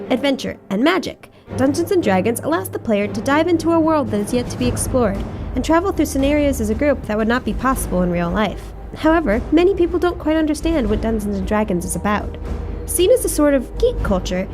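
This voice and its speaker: A young woman narrates a student documentary in an earnest, expressive read. Her voice sits fairly high and moves a lot in pitch. Music plays behind her. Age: young adult. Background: background music.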